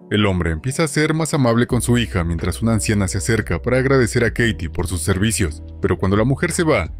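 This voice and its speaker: Low-voiced man narrating a film recap. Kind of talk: scripted plot narration.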